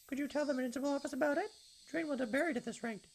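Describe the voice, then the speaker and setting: A man's voice: extremely high pitch, very fast, slight background noise, pitched above the performer's own voice. A man voicing a complaining, squeamish woman tenant in a visual novel read-aloud. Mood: whiny, disgusted.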